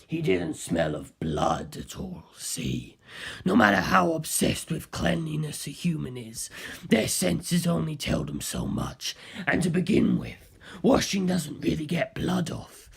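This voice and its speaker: A narrator voicing an aloof, knowing superhuman man with a heavy voice and a slow, dismissive manner. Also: huge pitch swings, creaky, husky, heavily acted. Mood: calm, knowing. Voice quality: very deep, heavy voice.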